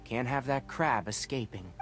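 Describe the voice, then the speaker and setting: A man voicing a young fox-eared forest ranger in a video game, patient and scholarly, who explains things in a measured, gently firm way. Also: medium pitch, faint music behind, close to an everyday voice. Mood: calm, analytical. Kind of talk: game voice acting.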